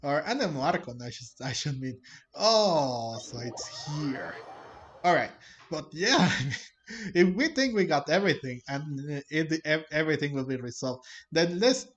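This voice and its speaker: A man giving casual game commentary as he plays, rambling and halting as he talks. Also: on the high side, animated. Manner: rambling, halting, frequent chuckles. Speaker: gaming streamer playing a story quest.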